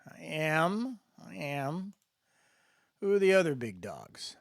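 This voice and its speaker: A man's voice: a little high, big pitch swings, slow, creaky, no room sound, clean studio sound, pitched above the performer's own voice, full character voice. A man voicing a tough young newcomer, curious and defiant, in a dramatic story reading.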